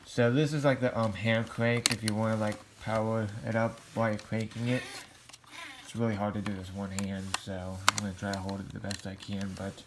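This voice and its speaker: Low-pitched man, very even delivery. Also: fairly quick, heavy background noise. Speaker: hobbyist video host. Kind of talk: casual product review to camera.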